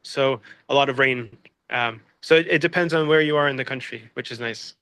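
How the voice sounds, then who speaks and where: Bright, clear-voiced Peruvian-born man. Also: medium pitch, fairly quick, dry recording. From Peru. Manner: hesitant, many fillers and restarts. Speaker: interviewee. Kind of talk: casual interview answers. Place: Seoul, South Korea.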